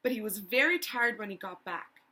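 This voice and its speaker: A woman's voice: mid-range voice, expressive, normal speed, pushed and bright. A woman storyteller reading a children's picture book aloud expressively, with little asides to the listeners.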